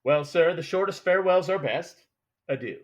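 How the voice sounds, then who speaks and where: An actor playing a genial, grandiloquent criminal mastermind in a 1940s radio drama, florid and courtly. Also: male voice, on the high side, expressive, moderate pace, natural voice. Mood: jovial farewell.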